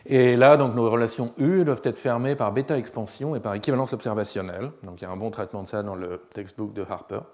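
A man giving a university lecture, slightly hoarse, hesitant with many fillers and restarts, often checking that students follow. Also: fairly low pitch, fast, hardly any pauses, a little mellow.

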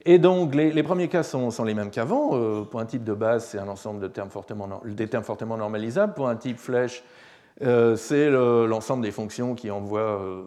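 A man giving a university lecture, slightly hoarse, hesitant with many fillers and restarts, often checking that students follow. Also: lowish voice, dark tone.

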